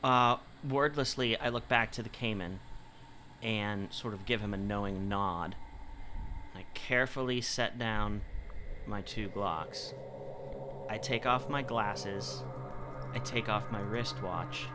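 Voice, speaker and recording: A man's voice: fairly low pitch, slow, pushed and bright. A man playing a tabletop role-playing game, casually describing his character's actions and often restating himself. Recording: music behind the voice. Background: music.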